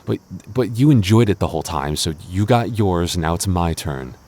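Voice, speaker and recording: A man's voice: sits low, animated, normal speed, a little mellow. A man playing a clingy, jealous boyfriend in an intimate audio roleplay, stammering and mock-indignant but affectionate. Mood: playfully grumbling.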